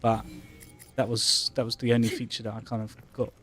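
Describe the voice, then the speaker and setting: Male gaming streamer, mid-pitched, loose rambling casual commentary, game music underneath. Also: flat delivery, brisk, a bit bright. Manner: rambling, hesitant phrasing, sweary. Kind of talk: casual live gameplay chat.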